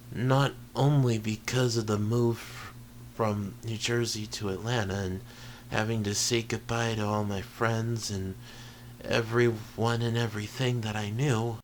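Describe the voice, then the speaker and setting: A man playing an earnest, vulnerable high-school boy in an intimate ASMR roleplay, speaking haltingly and sincerely. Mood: subdued, sad. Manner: halting, hesitant, sincere, often trailing into breathy murmurs. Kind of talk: ASMR roleplay audio drama, intimate confession. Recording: noisy home recording with ambience.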